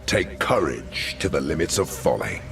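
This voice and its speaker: An actor playing a stern, noble knight commander in a video game, speaking in a solemn, measured, formal way. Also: male voice, extremely low pitch, sing-song, gravelly, music in the background, exaggerated voice. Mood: scornful. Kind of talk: dramatic video game acting.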